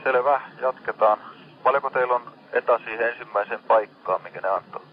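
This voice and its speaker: A man with a Finnish accent, speaking as a maritime rescue center operator in radio dispatch style. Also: medium pitch. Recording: dull band-limited radio channel.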